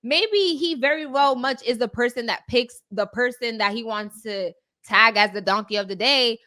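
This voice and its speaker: A woman with a forceful, bright voice pitched a little high, chatting as a podcast co-host. She is direct and opinionated, making her case conversationally and often ending a point with a quick "right?". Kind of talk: casual opinion discussion.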